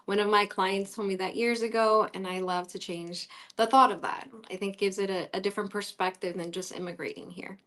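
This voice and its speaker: A woman's voice: medium pitch, steady tone, brisk, hardly any pauses, fairly bright tone. A woman from Colombia, a native Spanish speaker, giving an earnest, reflective interview in a conversational storytelling style. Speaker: interview guest.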